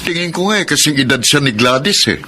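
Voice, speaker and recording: A man's voice: fairly high pitch, expressive, quick pace, bright, forceful tone, slight background noise. A man with a Filipino accent acting a protective, disapproving middle-aged older brother in a radio drama.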